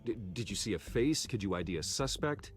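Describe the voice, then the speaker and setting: A very low-voiced, guarded man of wealth played by a male actor, investigating with grave, terse purpose. He speaks in a measured way, as in a video game drama, with clear music behind him. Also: lively intonation, close to an everyday voice. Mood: probing.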